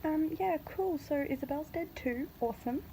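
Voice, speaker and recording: A woman's voice: high-pitched, normal speed, mellow. A woman giving a casual book reaction in gushing, slangy fan talk with sarcastic asides. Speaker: book reviewer vlogger. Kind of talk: casual reaction commentary, retelling a novel. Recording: noisy, glitchy amateur phone audio.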